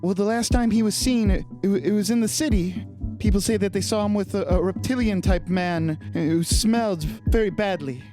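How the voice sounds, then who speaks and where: A woman's voice: mid-range voice, moderate pace, warm tone, lightly acted. A woman playing a shy, earnest half-elf in a tabletop game, speaking hesitantly with stammers and repeated starts. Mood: earnest, worried. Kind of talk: improvised tabletop role-play. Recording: music behind the voice.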